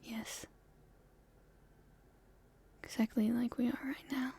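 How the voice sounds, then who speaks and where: A woman playing a playful young princess in love, speaking softly and breathily in an intimate ASMR romance roleplay, with gentle, halting delivery. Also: on the high side, little pitch movement, heavily acted. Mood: soft, confiding. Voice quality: breathy, whispery, soft.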